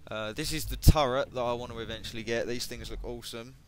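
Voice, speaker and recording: A man giving casual, hesitant commentary on game equipment, his voice a bit croaky, with many ums and self-corrections. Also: mid-range voice, steady tone, fast. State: voice going croaky. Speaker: gaming video creator.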